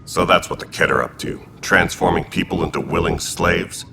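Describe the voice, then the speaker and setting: A deep, gravelly militia commander in a sci-fi game, blunt and steady, over game noise. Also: male voice, moderate pace, heavily acted. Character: male Turian militia commander, old military family.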